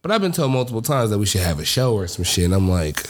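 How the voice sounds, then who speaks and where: A man's voice: fairly low pitch, sing-song, fast talker. A man in his early thirties co-hosting a podcast with casual, teasing, slangy comic banter. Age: 30s. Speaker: podcast co-host.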